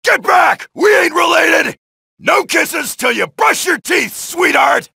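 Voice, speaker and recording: A gravelly, shouting zombie-killing soldier. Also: male voice, very high voice, expressive, slow, dry recording, full character voice. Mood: taunting. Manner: shouted taunts and one-liners. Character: brash, wisecracking male soldier blasting zombies. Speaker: video game soldier character. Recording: dry studio voice lines.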